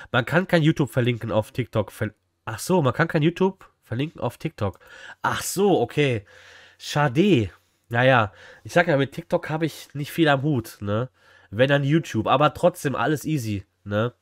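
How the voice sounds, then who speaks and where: A young male streamer talks in a casual, slangy way to his viewers. His mid-pitched voice is recorded close and dry indoors, and he chats informally as he reacts live. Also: dry recording. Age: young adult. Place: small indoor room at home.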